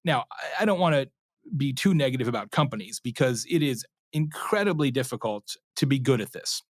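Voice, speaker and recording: A man's voice: medium pitch, brisk. A man with a mid-range voice speaks as an expert guest in an interview. He explains in a conversational, storytelling way, slipping into imagined quotes and checking in with the listener. The sound is dry, with very little room echo. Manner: animated storyteller, acts out imagined customer quotes, checks in with "right?".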